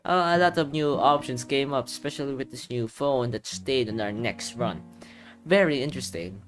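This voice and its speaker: A man's voice: medium pitch, normal speed, soft background music. A man doing playful game commentary, reading story text aloud and reacting with exclamations, his voice a little tired and strained. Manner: animated, exclaiming reactions between read passages. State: voice tiring and strained. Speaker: gaming video creator.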